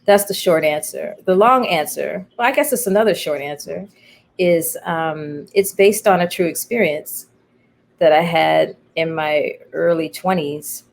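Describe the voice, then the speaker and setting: A woman playwright speaking as an interview guest, telling her story in a candid, thoughtful, halting way. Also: low voice, gravelly, slight background noise. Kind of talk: reflective conversational storytelling.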